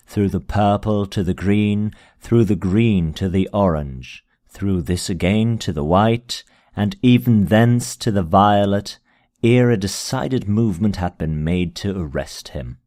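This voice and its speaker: A man narrating a gothic tale as a dramatic reading, in a solemn, measured manner with deliberate phrasing. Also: fairly low pitch, darkish tone, dry recording, clean studio sound. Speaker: amateur audiobook narrator.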